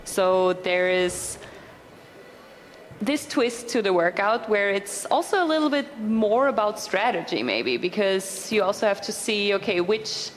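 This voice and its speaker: A woman's voice: mid-range voice, expressive, a little hiss or hum. Enthusiastic woman co-commentating. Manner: enthusiastic, quick to react. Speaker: event co-commentator. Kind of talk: live sports commentary.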